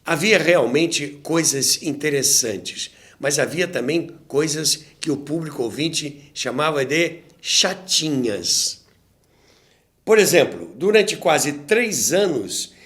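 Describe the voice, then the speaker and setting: A Brazilian man narrates a documentary at a slow, deliberate pace. His delivery is very lively in pitch and sits slightly high for a man. He explains like a teacher and slips in conversational asides to the listener. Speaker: host and narrator of a radio history show.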